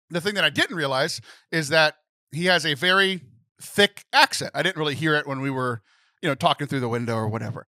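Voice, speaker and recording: A young man co-hosting a podcast, telling a story in a lively, animated way. He laughs often and mimics other people's voices. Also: fairly high pitch, no echo. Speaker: podcast co-host.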